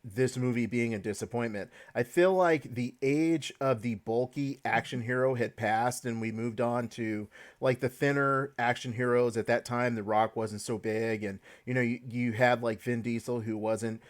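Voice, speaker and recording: A man's voice: medium pitch, steady tone, normal speed, few pauses. A man hosting a casual podcast chat, leading the talk with hedges and restarts. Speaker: podcast host.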